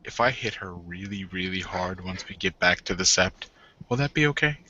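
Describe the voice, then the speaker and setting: A man playing a stern werewolf warden with a softer, wry side, speaking in a measured, halting way in a tabletop roleplay. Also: deep voice, animated, highs cut off, a little hiss or hum. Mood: tentative.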